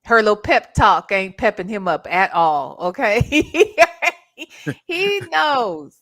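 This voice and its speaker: A woman's voice: medium pitch, sing-song, slow pace, fairly bright tone. A woman hosting a livestream, giving casual, slangy, blunt commentary and reading out chat messages. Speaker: livestream host.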